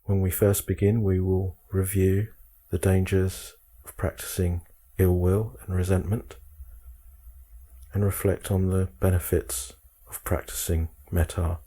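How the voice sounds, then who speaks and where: A man guiding a meditation, speaking calmly in measured short phrases with frequent pauses. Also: sits low. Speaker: meditation guide. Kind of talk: guided meditation instruction.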